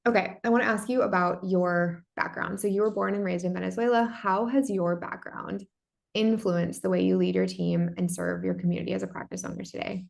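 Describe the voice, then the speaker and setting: A woman's voice: fairly low pitch, gravelly, darkish tone. A woman hosting a podcast, reading a scripted welcome and then interviewing her guest with gushing enthusiasm. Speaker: podcast host.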